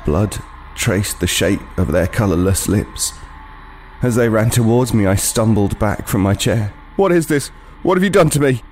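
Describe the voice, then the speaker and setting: An actor playing a haunted, guilt-ridden homeless man, telling his horror tale in a grave, deliberate, literary way for an audio play. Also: on the low side, expressive, darkish tone, a little hiss or hum, close to an everyday voice. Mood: grim dread, then horrified. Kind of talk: dramatic first-person horror narration, audio play.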